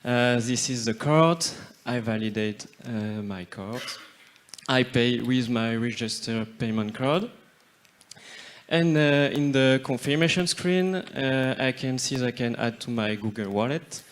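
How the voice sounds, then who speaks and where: Dude walking through an app demo on stage, mid-pitched, short steps with lots of uh. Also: male voice, a little slow. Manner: hesitant, plain short steps narrating a demo. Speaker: conference speaker. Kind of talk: live app demo commentary. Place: conference stage.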